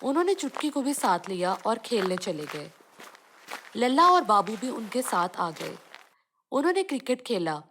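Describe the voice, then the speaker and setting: A woman's voice: on the high side, sing-song, moderate pace, a bit bright, a little hiss or hum. A woman reading scripted narration for a children's cartoon story.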